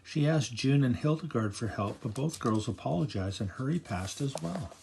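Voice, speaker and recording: An older man reading a children's picture book aloud in a gentle, grandfatherly storytelling manner. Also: medium pitch, lively intonation, moderate pace, creaky, background noise. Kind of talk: children's read-aloud narration.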